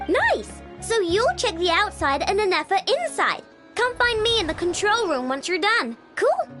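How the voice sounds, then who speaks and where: A woman's voice: extremely high pitch, big pitch swings, moderate pace, bright, forceful tone, faint music behind, full character voice. A woman voicing a cheeky, boastful young girl inventor in a video game, breezy and childlike. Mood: breezy, confident. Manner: breezy, self-assured, childlike.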